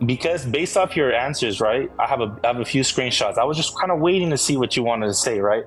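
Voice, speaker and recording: A man's voice: medium pitch, animated, fast, soft background music, poor audio. A man interviewing someone in a pressing, accusatory way, using casual street slang. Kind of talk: confrontational interview.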